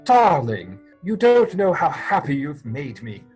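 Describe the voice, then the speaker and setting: Flustered, husky, lovestruck gentleman suitor played by a man. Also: a little high, wildly swooping pitch, measured pace, soft background music, full character voice. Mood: ardent. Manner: hesitant, stammering, flustered. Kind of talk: theatrical dramatic reading of period comedy.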